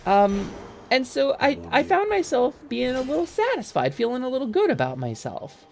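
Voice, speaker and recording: A young adult woman streaming a game, chatting casually and excitably, swearing freely. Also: sits high, normal speed, gravelly, slight background noise.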